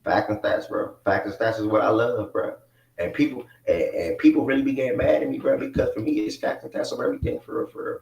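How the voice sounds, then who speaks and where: A man's voice: mid-range voice, quick pace, gravelly, a little mellow, highs cut off. A man in his twenties hosting a live sports talk show in a loose, rambling way with plenty of fillers. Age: 20s. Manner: rambling, laid-back, many fillers. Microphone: mic not connected, picked up from a distance. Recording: echoey room, voice far from mic.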